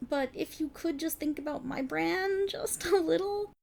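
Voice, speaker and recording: A woman's voice: very high voice, unhurried, creaky, darkish tone, slight background noise, full character voice. A woman playing a playful, exasperated voice actor bantering casually with her best friend in an ASMR roleplay. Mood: reluctant, sardonic. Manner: sarcastic, chatty, casual, hesitant asides. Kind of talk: ASMR roleplay, one side of a conversation.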